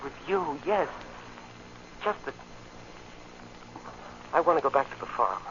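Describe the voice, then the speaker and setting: Troubled young American farmhand, highish tense voice, halting and earnest, swinging intonation, muffled old radio play. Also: male voice, lively intonation, creaky, a bit bright, slight background noise, exaggerated voice. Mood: weary unease. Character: troubled young farm man, earnest, trapped in city crime. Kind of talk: old-time American radio drama acting.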